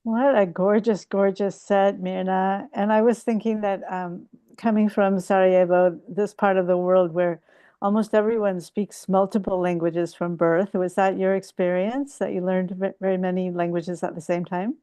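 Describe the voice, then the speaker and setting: A woman in the audience of a video call, asking a poet curious questions and sounding warmly admiring. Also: medium pitch, fairly even intonation, moderate pace, smooth. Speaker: audience member.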